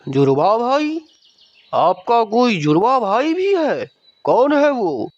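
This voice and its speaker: A man's voice: extremely high pitch, very animated, slow pace, dry recording, full character voice. A man voicing an anxious village leader. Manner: alarmed exclamations. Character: worried male village leader. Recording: dry, dull lo-fi sound.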